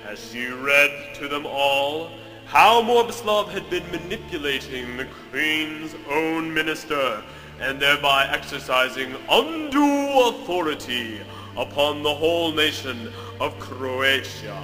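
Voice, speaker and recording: A man's voice: a little high, lively intonation, measured pace, sharp tone. A man narrating a melodramatic story as scripted, in a theatrical, emphatic way. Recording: long reverb, music behind.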